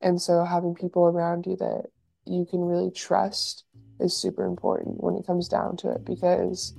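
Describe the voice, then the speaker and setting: Woman, young adult, soft morning voice, low, dark, earnest. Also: completely flat delivery, normal speed, gravelly, no room sound, soft background music. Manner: earnest and reflective. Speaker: podcast guest. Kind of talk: casual podcast interview. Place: home, early morning.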